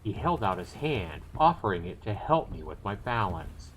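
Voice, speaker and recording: A man's voice: lowish voice, sing-song, moderate pace, a little hiss or hum. A man hosting a livestream, reading a visual novel aloud and commenting casually. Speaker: livestream host.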